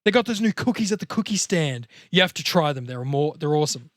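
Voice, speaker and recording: A cocky young slacker, acted by a man pushes and coaxes his pal in a comedy table read. The voice is raised in pitch, emphatic and crude. The sound is very dry. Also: lively intonation, fast talker, dry recording, natural voice. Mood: coaxing. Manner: emphatic, sweary, coaxing.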